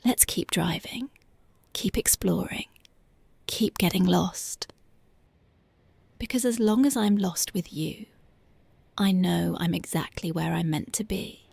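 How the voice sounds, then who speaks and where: A voice actress playing a young punk girlfriend, speaking softly and whispering in a dreamy, intimate, affectionate ASMR roleplay close to the mic. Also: on the low side, takes it slowly, vocal fry, long pauses, slightly rough, dry recording, heavily acted. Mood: tender dreamy. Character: young punk woman, tender devoted girlfriend.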